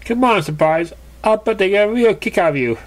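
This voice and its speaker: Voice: mid-range voice, expressive, a little fast, pitched above the performer's own voice, lightly acted. A reader voicing a brash, cocky young female pegasus who exclaims and boasts. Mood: eager.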